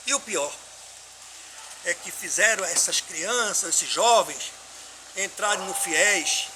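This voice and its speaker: High-pitched older Pernambucan man, oratorical. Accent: Northeastern Brazilian, Pernambuco. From Pernambuco, Brazil. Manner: oratorical, emphatic, with rhetorical questions. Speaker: political opinion commentator. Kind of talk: impassioned opinion monologue addressed to followers. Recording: clearly noisy background.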